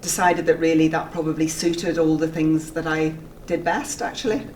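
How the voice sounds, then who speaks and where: A woman, chatting in a relaxed, measured way with frequent ums as an interviewee at a dental school. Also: deep voice, far from the mic, a little hiss or hum, rough recording. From Belfast, Northern Ireland. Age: 40s.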